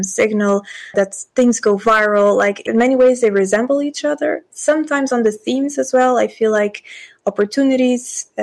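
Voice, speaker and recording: A woman in her twenties from Portugal, a politician answering interview questions thoughtfully, with pauses and restarts. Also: mid-range voice. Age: 20s. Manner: thoughtful, hesitant with fillers and restarts. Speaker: politician, guest.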